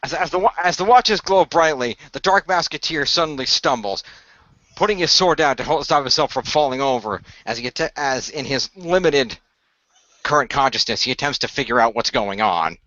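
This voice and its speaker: A man improvising narration as game master of a tabletop adventure, halting and repeating phrases as he goes. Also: mid-range voice, lively intonation, bright, forceful tone, no echo, dull audio.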